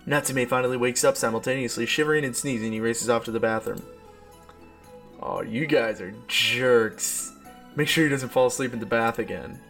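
A man's voice: medium pitch, moderate pace, fairly bright tone. A young adult man reading a visual novel aloud as a streamer, with casual, slangy, exclamatory reactions. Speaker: let's play streamer. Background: background game music.

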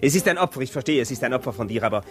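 Guy acting bitter lover lecturing down the phone, animated, old radio drama, faint music. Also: medium pitch, rapid-fire, natural voice. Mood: reproachful, pleading. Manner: emphatic, reproachful.

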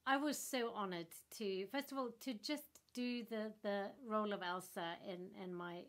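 A woman's voice: medium pitch, normal speed. Enthusiastic woman on a video call. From Norway. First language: Norwegian. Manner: enthusiastic, animated with hand gestures. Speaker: actress guest. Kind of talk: remote interview answers. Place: home room in front of a floral wall. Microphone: laptop webcam on a video call.

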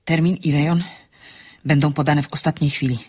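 Husky, self-assured amateur sleuth. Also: female voice, steady tone, a little fast, darkish tone. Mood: composed. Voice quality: low, husky. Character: excitable, bold amateur sleuth woman, composed when bluffing. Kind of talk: radio drama acting.

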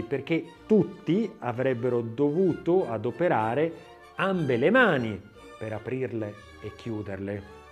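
A male narrator gives an expressive reading of a literary text. His medium-pitched voice moves up and down in a lively way, with theatrical, measured phrasing. Faint music plays behind him.

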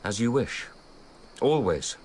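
A man's voice: mid-range voice, sing-song, slow, darkish tone. An actor playing a proud, generous young nobleman of ancient times in a radio drama, with a grave, declamatory delivery. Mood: gracious. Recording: radio drama with faint background noise.

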